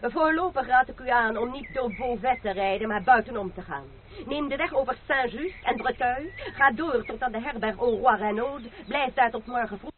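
A man's voice: very high-pitched, expressive, normal speed. A man playing a mocking, secretive young cavalier in an old radio drama. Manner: teasing, mysterious, haughty. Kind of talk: vintage radio drama acting. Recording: phone-like narrow band with faint noise.

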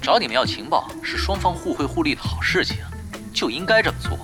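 Sly veteran gamer, nonchalant, teasing, slightly high male voice, music behind, audio drama. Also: sing-song, fast talker. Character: cool, shrewd, shameless male veteran online gamer. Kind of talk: Chinese audio drama acting. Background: background music.

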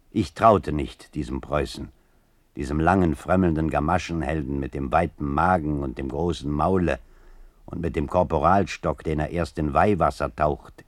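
Scornful writer, extremely deep voice, dry close sound, deliberate rhetorical recitation, biting. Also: male voice, dry recording. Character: grave, scornful male writer reciting his polemic. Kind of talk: dramatic literary recitation.

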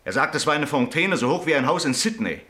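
An actor playing an excitable, cocky young son in a radio drama, eager and breezy in manner. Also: male voice, on the high side, fast. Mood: excited. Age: young adult. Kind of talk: German radio drama acting.

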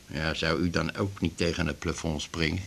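A man's voice: rumbling low, fairly even intonation, normal speed, creaky, a bit bright, a little hiss or hum, full character voice. An actor playing an aggrieved, garrulous retired glue salesman in his fifties in a radio drama, rambling and grumbling. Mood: indignant. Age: 50s. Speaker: patient in a doctor's office. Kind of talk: naturalistic radio drama acting.

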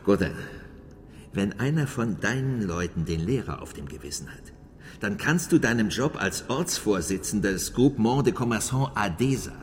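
Male actor as defensive provincial mayor, low voice, big swings in pitch, insistent, radio drama, faint background noise. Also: lively intonation, moderate pace, natural voice. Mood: urgent, threatening. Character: small-town French mayor, male, defensive, wary of outsiders. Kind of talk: radio crime drama acting.

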